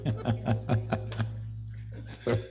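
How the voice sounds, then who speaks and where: A man hosting a live music show with casual, joking, rambling banter. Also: very slow. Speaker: show host.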